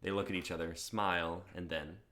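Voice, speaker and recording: A man's voice: sits low, steady tone, fairly quick, sharp tone. A young man reading stage directions as the narrator of a scripted dramatic reading. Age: young adult.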